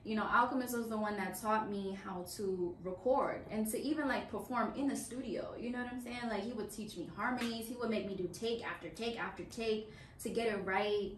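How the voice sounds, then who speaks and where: A woman's voice: medium pitch, fairly quick, distant mic. Roomy young woman telling her story. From Washington, D.C. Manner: animated storyteller, many "you know"s. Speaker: guest musician. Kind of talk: casual interview chat. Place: small office meeting room, indoors. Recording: roomy sound from off-mic, faint hum.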